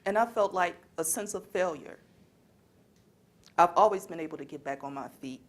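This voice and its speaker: A woman's voice: fairly low pitch, moderate pace, close to an everyday voice. A young woman performing scripted lines as a student in readers theater. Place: college library event. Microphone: worn microphone.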